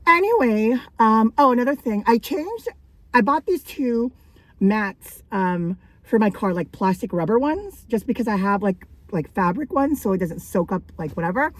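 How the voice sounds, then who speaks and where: A woman vlogging casually about her thrift finds, her voice raspy from days of coughing, rambling and laughing between thoughts. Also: fairly high pitch, lively intonation, moderate pace, dry recording. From Canada. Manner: chatty and rambling, frequent likes and laughs. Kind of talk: casual thrift haul vlog.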